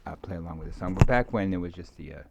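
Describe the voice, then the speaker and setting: A middle-aged man in an unscripted, casual ASMR ramble, halting and meandering, with many fillers, on a muffled home recording. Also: deep voice, animated, gravelly. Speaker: ASMR video maker. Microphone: microphone moved around beside a keyboard.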